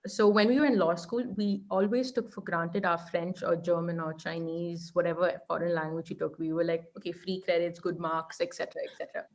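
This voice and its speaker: A woman's voice: fairly low pitch, fairly even intonation, fairly quick, hardly any pauses, darkish tone. Indian woman giving earnest advice. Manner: earnest advice, frequent restarts and "right?" checks. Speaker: guest, law lecturer. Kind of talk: online interview conversation.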